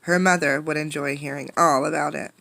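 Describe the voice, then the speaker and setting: A woman reading a picture book aloud with dramatic, emphatic delivery, pausing to put questions to listeners. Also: deep voice, steady tone, gravelly, fairly bright tone, slight background noise. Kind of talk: expressive storybook read-aloud with questions to listeners.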